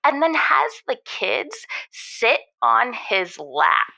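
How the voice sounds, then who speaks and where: Female caller, aggrieved wife, acted by a woman: heavy vocal fry, forceful tone, earnest indignation, comedy radio. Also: fairly high pitch, big pitch swings, extremely slow, heavily acted, low-quality recording. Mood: disgusted. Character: aggrieved wife calling in, dreading family holidays. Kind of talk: improvised comedy call-in radio show.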